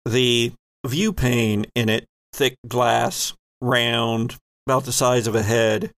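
A man's voice: mid-range voice, fairly even intonation, slow, a bit bright, no room sound. A man acting as game master of a tabletop RPG, narrating and ruling in a playful, teasing way.

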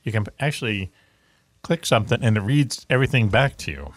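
A man with a Boston accent hosts a casual talk show. His voice sits on the low side, and the sound is dry and close. He rambles, with plenty of fillers and restarts. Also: sing-song, a little fast, no room sound. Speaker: radio show host.

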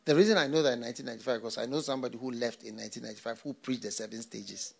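A man's voice: mid-range voice, rapid-fire, gravelly. An older man from Ghana, a pastor, preaching a sermon with repeated phrases and rhetorical questions.

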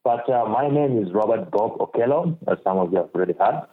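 A man's voice: lowish voice, expressive, fairly quick, husky. A young man from Uganda, a guest on a podcast interview, speaking earnestly and often repeating words as he thinks. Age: young adult. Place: home in Uganda, remote call. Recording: tinny phone-like call audio.

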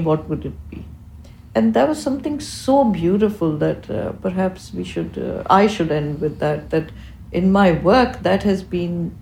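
Eloquent low-voiced woman, native Urdu speaker, roomy. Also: dark tone, slight background noise. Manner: eloquent, reflective, deliberate phrasing. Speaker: interview guest, physician and researcher. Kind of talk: thoughtful interview answers.

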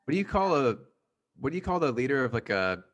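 Medium-pitched man chatting on podcast. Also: big pitch swings, fast, fairly bright tone. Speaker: podcast cast member. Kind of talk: casual comedic chat.

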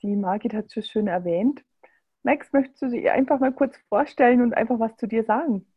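A woman's voice: on the high side, fairly quick, husky, narrow, tinny audio. A woman interviewing a guest in a casual talk, appreciative and enthusiastic, with some fillers.

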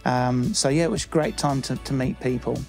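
A middle-aged man with a New Zealand accent talks through an interview in a halting way, full of fillers and restarts. His voice has a gravelly edge, and faint music plays behind him. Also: medium pitch, steady tone, a little mellow. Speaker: church member.

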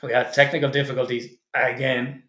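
A man's voice: mid-range voice, level tone, brisk, pushed and bright. Man, podcast host, casual teasing banter, mid-range voice, roomy echo. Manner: swearing, laughing, teasing banter.

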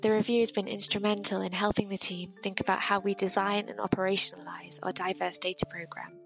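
A woman hosting a podcast interview, talking in a reflective, measured way with some fillers. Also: medium pitch, flat delivery, creaky, fairly bright tone, faint music behind, low-quality recording. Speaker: podcast host.

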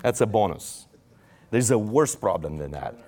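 A man's voice: lowish voice, animated, normal speed, dark tone. A man preaching a sermon in English with a Romanian accent, his first language Romanian, acting out quoted prayers with raised voice.

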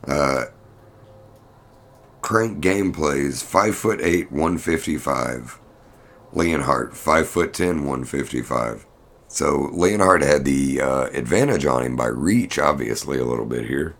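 Casual male podcaster, blunt and rambling, very deep voice, unhurried delivery. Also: creaky. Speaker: podcast host. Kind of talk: casual fight recap.

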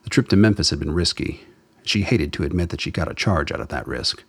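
A man's voice: a bass voice, animated, fast talker, breathy edge, dry recording. A man reading scripted dramatic narration as the narrator of a radio play.

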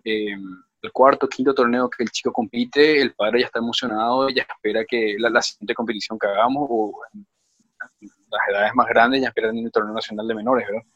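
A man's voice: medium pitch, fairly even intonation, fairly quick, fairly bright tone, breathy edge, no echo, highs cut off. A man who coaches swimming at a club, speaking hesitantly with many restarts in an online group discussion.

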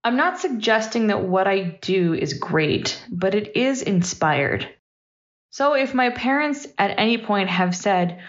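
A woman in her thirties giving a personal, reflective monologue as a podcast host, candid and emphatic, in short punchy sentences. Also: medium pitch. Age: 30s. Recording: dull, slightly lo-fi voice recording.

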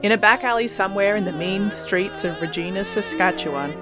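A woman's voice: mid-range voice, a little fast. A woman co-hosting, telling a playful comic story and chatting about music, laughing often. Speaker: podcast co-host.

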